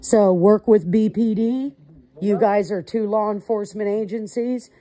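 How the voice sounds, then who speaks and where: A woman caller on a recorded call, recounting events to law enforcement step by step in a deliberate, emphatic manner. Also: medium pitch, warm tone.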